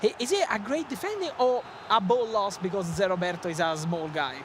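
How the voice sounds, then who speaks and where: Italian-accented man, esports co-commentator, high voice, excitable, noisy background. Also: fairly quick. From Italy. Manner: excitable, laughs often, non-native phrasing.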